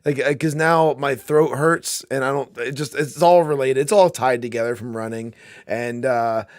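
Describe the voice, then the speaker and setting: A man with a hoarse, worn voice co-hosting a podcast, talking in a loose, rambling way and swearing often. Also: medium pitch, fairly even intonation, moderate pace, no echo, studio quality. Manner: laid-back, swearing, rambling. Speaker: podcast co-host. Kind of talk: casual chat.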